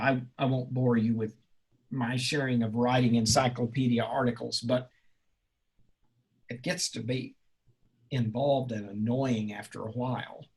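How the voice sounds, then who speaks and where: A male college instructor talking informally with a class in a halting, rambling, encouraging way. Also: mid-range voice, a little mellow, slightly rough. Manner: encouraging, reassuring. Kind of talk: informal class question-and-answer.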